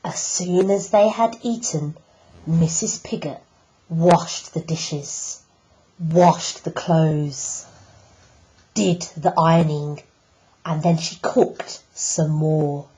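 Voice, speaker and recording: Slow-reading woman with a low voice. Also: steady tone, mellow, low-quality recording. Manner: slow, deliberate reading with emphatic pauses. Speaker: teacher reading a picture book. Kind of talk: storybook read-aloud.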